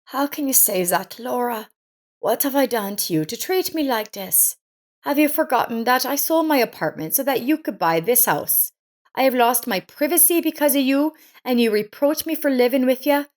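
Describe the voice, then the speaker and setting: A hurt elderly mother from an audio story, performed by a woman. She pleads and reproaches in a raised pitch. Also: animated, moderate pace. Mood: hurt, reproachful.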